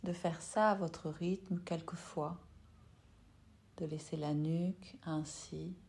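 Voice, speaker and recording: A woman yoga nidra teacher leading a guided meditation in a soft, soothing, unhurried way with long pauses. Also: deep voice, steady tone, creaky.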